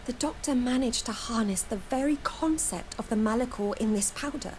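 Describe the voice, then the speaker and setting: A woman's voice: on the high side, normal speed, darkish tone, a little hiss or hum, close to an everyday voice. A woman playing a guarded, dutiful scientist troubled by guilt, in serious video game dialogue. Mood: grave.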